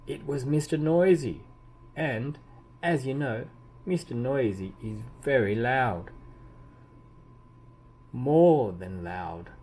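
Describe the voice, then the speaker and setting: A man reading a children's story aloud expressively, with deliberate emphasis and drawn-out key words. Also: medium pitch, a little mellow. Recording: clearly audible background noise.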